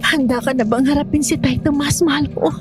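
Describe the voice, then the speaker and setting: A woman's voice: high-pitched, fairly even intonation, fairly quick, lightly acted. A woman playing an emotional, devoted young wife with a strong will in a horror audio drama. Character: distressed, stubborn, devoted young wife sure of her hunch. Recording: music behind the voice.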